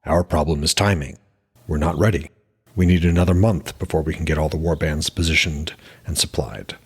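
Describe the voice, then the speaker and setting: Man voicing Skrymir, a deep, husky giant chief. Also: exaggerated voice. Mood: calm, firm. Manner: even, measured, implacable. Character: Skrymir, calm implacable male giant high chief.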